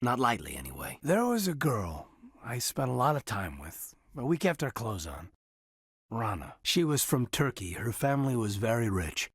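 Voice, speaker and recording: An actor playing an earnest, guarded military officer in a video game, with a husky voice and a respectful, formal manner. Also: male voice, mid-range voice, sing-song, normal speed, dry recording, clean studio sound. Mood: wistful, guarded. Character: earnest, guarded young soldier with biotic powers, a loyal officer.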